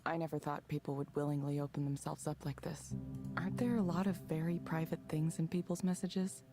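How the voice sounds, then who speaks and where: An actress voicing a reserved young woman working as a counseling proxy, quiet and measured. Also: low-pitched, steady tone. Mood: curious. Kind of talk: video game voice acting. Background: game music.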